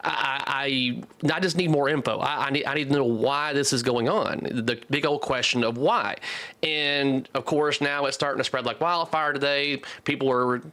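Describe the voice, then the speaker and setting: A man's voice: mid-range voice, fairly even intonation, moderate pace, sharp tone. A man hosting a casual live stream, rambling and joking loosely, with casual swearing. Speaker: host.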